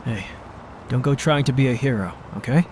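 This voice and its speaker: A man voicing a responsible, protective mutant turtle leader with a serious, steady manner in an audio drama. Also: medium pitch, a little fast, noisy background, close to an everyday voice. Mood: concerned, steady. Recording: music behind the voice.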